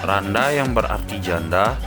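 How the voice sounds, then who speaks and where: A male narrator reads a scripted story in a fairly deep voice. Music plays clearly behind his narration. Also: animated, a little slow.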